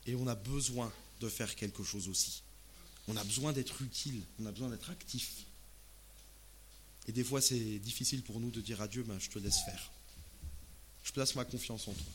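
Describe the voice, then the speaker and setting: Conversational male preacher, some background noise. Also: mid-range voice. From Alsace, France. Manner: expressive, uses rhetorical questions and acted-out asides. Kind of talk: sermon in conversational, colloquial language.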